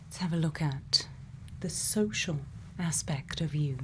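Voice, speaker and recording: A woman playing a quirky, gently probing scientist in a soft-spoken ASMR roleplay, murmuring unhurried questions and sometimes half whispering. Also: deep voice, slight background noise. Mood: calm, attentive. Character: quirky, gently probing female scientist programming a robot's memories.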